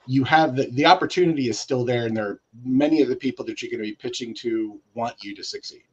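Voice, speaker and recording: A man chatting casually as a guest writer on a panel, in a loose, halting way with repeated phrases. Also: medium pitch, husky, lo-fi sound.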